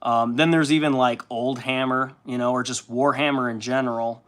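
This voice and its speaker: A young man hosting a casual livestream while painting miniatures, chatty and at times loud and impassioned. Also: medium pitch, steady tone, normal speed.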